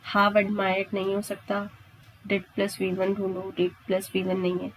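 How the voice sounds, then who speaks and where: Female Indian exam tutor, mid-pitched, unhurried, instructional delivery with regular comprehension checks. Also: completely flat delivery, a little hiss or hum, poor audio. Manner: instructional, checks understanding with frequent questions and tags. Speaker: English grammar teacher. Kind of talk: exam-prep lesson, explaining step by step.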